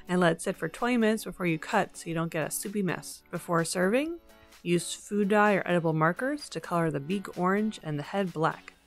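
A young woman hosting a home baking video, narrating a recipe step by step with playful asides. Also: lowish voice. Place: home kitchen.

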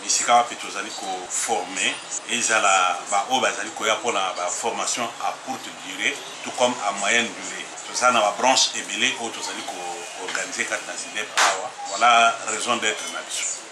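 Congolese assistant, low voice, hesitant, roomy and noisy. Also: male voice, steady tone, normal speed. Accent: Congolese French. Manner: hesitant, filler-heavy. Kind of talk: statement mixing French and Lingala. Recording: echoey with noise.

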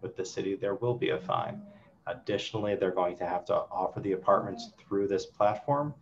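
A man runs a town hall over a video call. He reads audience questions and answers them briskly. The sound is dull and lo-fi, with a faint hum behind him. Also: low-pitched. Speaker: council member hosting a town hall. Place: office with city window, indoors.

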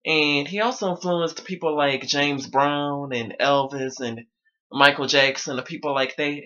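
A man's voice: on the high side, steady tone, measured pace, bright, forceful tone, highs cut off. A young man talking casually to his viewers from his bedroom, his voice a little strained. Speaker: vlogger. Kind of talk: casual talk to camera. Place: small bedroom indoors.

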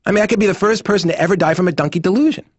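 A man's voice: sits high, rapid-fire, muffled recording, close to an everyday voice. A man playing an anxious therapy patient in a cartoon, telling an anecdote in stand-up comedy style. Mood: anxious, animated.

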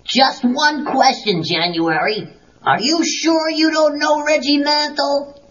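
A man's voice: extremely high pitch, takes it slowly, fairly bright tone, full character voice. An actor playing an easygoing, wisecracking teenage boy hero in a comic-book audio drama, with an exaggerated drawl. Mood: wry. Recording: dull cassette, music behind.

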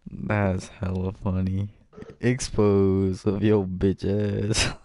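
A man's voice: sits low, level tone, extremely slow, a little mellow. A man in casual chat with friends while gaming, joking crudely and swearing a lot. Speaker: gamer.

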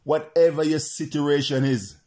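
A man's voice: fairly high pitch, steady tone, normal speed, darkish tone. A male preacher praying and preaching to remote listeners, repeating his phrases in an insistent, chant-like cadence.

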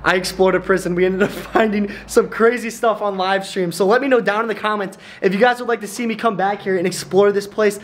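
Young male vlogger, high voice, hyped and exclamatory, handheld camera with noisy outdoor background. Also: fairly quick, hardly any pauses. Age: young adult. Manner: excitable, laughing, exclaims at finds. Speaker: magnet fishing vlogger. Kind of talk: excited outdoor vlog chat. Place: outdoors by a pond at an abandoned building, then inside it.

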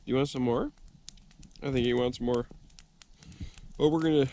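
A man's voice: mid-range voice, fairly even intonation, moderate pace, dark tone, a little hiss or hum. A young man vlogging casually while camping in the snow at night, chatting to his dog and cooking over a fire. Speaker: outdoor vlogger. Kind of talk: casual camp vlog narration. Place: outdoors at a campfire in snow at night, then inside a snow shelter.

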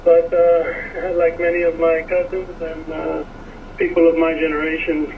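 An American man with a high voice, sounding distant and muffled, like off-air radio audio. A steady hiss sits under his voice as he answers in a thoughtful, halting way. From Miami, USA. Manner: thoughtful, hesitant, many fillers. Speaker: car design director guest.

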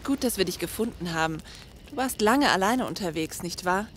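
A woman's voice: lowish voice, expressive, fairly quick, a little hiss or hum, lightly acted. An actress playing a compassionate female survivor in a German zombie audio drama. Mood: concerned, caring.

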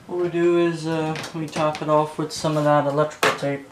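A man's voice: on the high side, level tone, a little fast, background noise. A young man giving casual hands-on commentary as a hobbyist repairer, muttering to himself with exclamations and casual swearing. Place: indoors at a workbench.

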